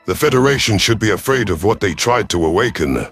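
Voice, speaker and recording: A man as a deep, composed veteran alien hunter. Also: expressive, fairly quick, a bit bright, soft background music. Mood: grave, confident. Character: older male alien reptilian chief hunter, cunning, composed veteran.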